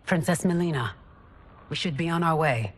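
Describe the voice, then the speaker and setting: An actress playing a composed, deferential royal attendant in a fantasy video game, polite and husky-voiced. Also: female voice, deep voice, normal speed. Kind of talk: cinematic video game acting.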